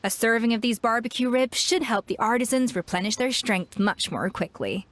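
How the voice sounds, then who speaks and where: A woman's voice: a little high, lively intonation, normal speed, lightly acted. A woman playing a proud, exacting young celebrity chef in a video game, confident and precise in her delivery. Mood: assured, explanatory. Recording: music behind.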